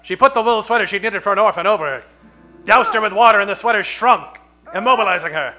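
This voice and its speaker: A man playing a hard-boiled pulp story narrator, deadpan and dramatic, in a comic radio drama. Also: high-pitched, fast, over music, lightly acted, low-quality recording. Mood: storytelling.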